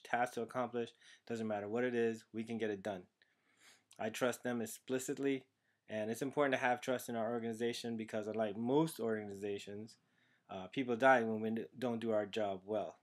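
A man from Trinidad and Tobago talks through his student video essay in an earnest, steady way, partly reading, with an occasional "uh". His voice sits in a middle pitch for a man. He records on a built-in webcam in a small room at home. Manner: earnest, steady, partly read, with some "uh" fillers. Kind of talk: prepared personal presentation. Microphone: built-in webcam microphone, close framing.

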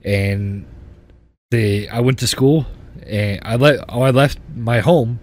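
A man in his thirties hosting a podcast, telling his life story in a rambling, halting way with many restarts. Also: mid-range voice. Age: 30s. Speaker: podcast host. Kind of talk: casual personal storytelling.

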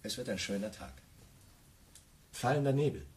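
A man's voice: lowish voice, very animated, normal speed. An actor playing a confused, evasive man making hesitant small talk in a radio drama. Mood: hesitant, polite.

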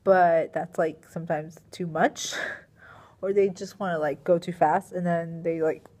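A sleepy young woman talks to her laptop camera from a small classroom, her voice a touch low and a little worn from teaching. She rambles in a loose, filler-filled way, sighing now and then. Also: steady tone, measured pace, darkish tone. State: tired and sleepy; strained voice. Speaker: middle school science teacher. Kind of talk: casual video diary. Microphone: laptop webcam at desk.

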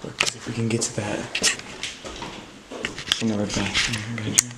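A man's voice: lowish voice, little pitch movement, normal speed. A young man filming an urban exploration vlog, chatting as he guesses what each bare room was, sometimes dropping his voice when nervous. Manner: chatty, guessing aloud at rooms, drops to hushed tones when nervous. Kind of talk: casual exploring commentary. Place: empty abandoned house, hard bare rooms. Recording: echoey empty rooms, loud noise.

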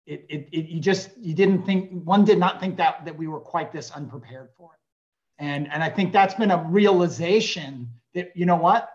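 A man's voice: a little high, normal speed. A man in his fifties interviewing conversationally over a video call from a room indoors. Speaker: interviewer, journalist. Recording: slightly dull video call audio.

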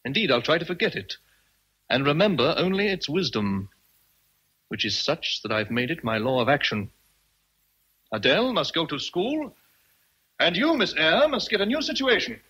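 An actor playing a brooding, teasing British gentleman in love with his governess, speaking deliberately in a period radio drama. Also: fairly high pitch, big pitch swings, slightly rough, heavily acted, low-quality recording. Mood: mock brisk.

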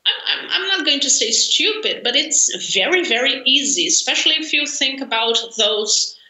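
A woman in her forties with a Brazilian Portuguese accent talks slowly on a video call, pausing often with fillers and laughing easily. Her voice is a little high and very bright. The webcam audio is roomy and echoey. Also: lively intonation. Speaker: interview guest, language learner. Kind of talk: conversational interview. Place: home room, indoors.

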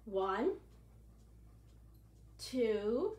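A woman in her sixties, a children's librarian leading an animated, sing-song storytime from an armchair in a living room. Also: a little high, very slow. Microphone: camera at a distance. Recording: echoey room, far from the mic.

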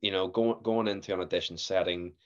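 A young man with a Northern Irish accent, a guest actor telling stories in a casual podcast chat, animated and laughing often. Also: low voice, brisk, muffled recording. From Northern Ireland.

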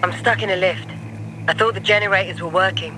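High-voiced wry young soldier man acting. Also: steady tone, quick pace, noisy background, natural voice. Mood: uneasy. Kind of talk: naturalistic video game acting.

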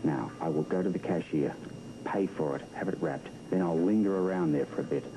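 A man's voice: sits low, brisk, natural voice. A man in his thirties acting in a TV soap as a methodical, cautious schemer, talking in a clipped, businesslike way. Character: methodical male schemer planning a covert switch, impatient and cautious. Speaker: soap opera actor. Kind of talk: television soap opera dialogue. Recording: noisy worn VHS tape of television.

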